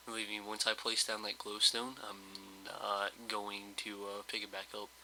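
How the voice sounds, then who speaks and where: Male gameplay host, slightly hoarse, bright tense tone, monotone, rambling and hesitant delivery. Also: on the low side, a little slow, a little hiss or hum, rough recording. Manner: rambling, hesitant, full of fillers. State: slightly hoarse, just woke up. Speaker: let's play host. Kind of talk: casual gameplay commentary.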